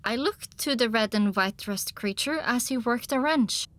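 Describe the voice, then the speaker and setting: High-pitched, expressive woman narrator. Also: unhurried, no room sound. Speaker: audiobook narrator. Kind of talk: first-person scripted story narration.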